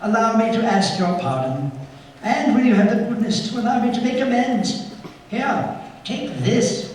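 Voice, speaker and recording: An actor playing a reformed old miser, giddy and kindly, in a theatrical radio play style. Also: male voice, extremely high pitch, expressive, normal speed, a little mellow. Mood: earnest, contrite. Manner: exuberant, chuckling, swooping delivery. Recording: echoey hall, distant mic, faint noise.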